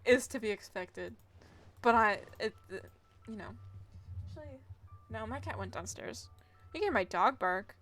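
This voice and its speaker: A woman's voice: a little high, expressive, moderate pace, pauses often, a bit bright. A woman streaming a game, giving casual live commentary in a giggly, gushing way and reacting aloud as she plays. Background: game music.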